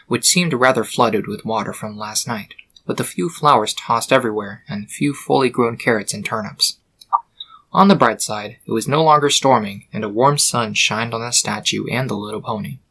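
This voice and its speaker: A man's voice: medium pitch, a bit bright, slightly rough. A man reading scripted storybook narration for a fan fiction.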